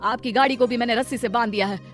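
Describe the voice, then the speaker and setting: Cartoon poor laborer husband, worried and caring, fairly high voice, a woman plays him. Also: very fast, soft background music, close to an everyday voice. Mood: reassuring. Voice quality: earnest, pleading, protective. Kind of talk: Hindi cartoon voice acting.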